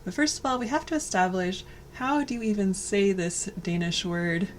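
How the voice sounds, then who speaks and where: An American woman co-hosting a lifestyle vlog, talking in a soft, warm way and laughing often. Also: mid-range voice, expressive, moderate pace, a little hiss or hum. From United States. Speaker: vlog co-host. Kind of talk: casual cozy chat and sponsor read.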